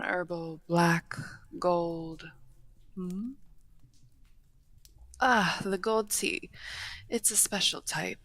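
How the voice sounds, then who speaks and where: A woman's voice: fairly low pitch, creaky, fairly bright tone, heavily acted. A woman playing a courteous, refined half-dragon hostess in a fantasy roleplay, speaking formally with dry teasing. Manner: genteel, formal, unhurried wording with dry teasing. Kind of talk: fantasy roleplay audio acting, addressing the listener.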